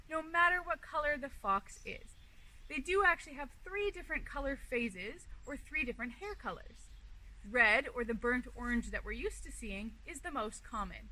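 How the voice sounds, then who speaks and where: A woman's voice: high voice, sharp tone, rough recording. A woman wildlife educator hosting a children's storytime outdoors, explaining and narrating with emphatic storytelling phrasing. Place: outdoors beside an animal enclosure. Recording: outdoor recording with faint background noise.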